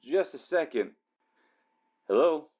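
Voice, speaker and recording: A man's voice: on the high side, animated, takes it slowly. A man playing an apologetic, casual friend, hedging over the telephone in a radio drama. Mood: friendly, hurried. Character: apologetic friend eager to get home. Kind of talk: naturalistic radio drama phone dialogue. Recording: muffled telephone call.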